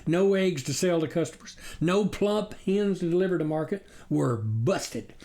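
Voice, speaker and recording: A man's voice: on the high side, moderate pace, mellow, voice pushed up, lightly acted. A man voicing a gullible, fretful country farmer in a storybook, with rustic, rambling talk. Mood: despairing. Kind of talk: storybook character reading.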